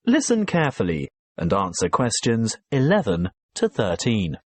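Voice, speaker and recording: A man with a mid-pitched, husky voice narrates listening test instructions. His delivery is formal and measured, yet the intonation moves very widely. The recording is dry. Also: wildly swooping pitch, a little mellow, dry recording. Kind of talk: scripted exam instructions.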